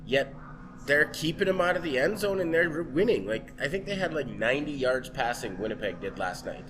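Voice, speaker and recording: A man from Canada hosting a casual sports chat show in a pub, slightly hoarse from a cold, easygoing and quick to laugh. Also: medium pitch, sing-song, over music. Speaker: host.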